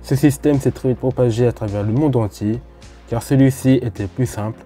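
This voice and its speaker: A man from France talks viewers through a history topic in a relaxed, explanatory way. His voice is deep-toned and very mellow, at an ordinary pitch, and he breaks off now and then for chatty asides. Faint music plays underneath. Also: normal speed. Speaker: host of a railway history channel. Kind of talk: casual explanatory narration.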